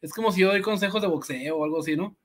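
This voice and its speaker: A man co-hosting a live stream, mocking an opponent in an animated way, with lots of fillers. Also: high-pitched, rapid-fire.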